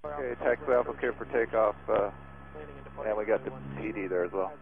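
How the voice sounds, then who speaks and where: A man's voice: fairly low pitch, fairly quick, creaky, a crowd in the background. A man, a helicopter pilot, speaking courteously to the tower over aviation radio. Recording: tinny aircraft radio, busy background din.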